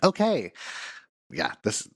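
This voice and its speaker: A man's voice: lowish voice, huge pitch swings, slow, a bit bright, husky, no echo, clean studio sound. A man, a counselor, hosting a solo podcast while sick, with a congested, nasal voice and a playful, self-mocking chat.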